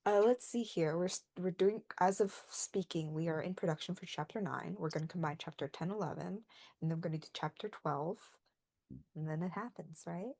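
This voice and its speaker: Low-pitched woman chatting on a podcast. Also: fairly quick, creaky, a little mellow, dry recording. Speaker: fandub team member on podcast. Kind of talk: casual podcast chat.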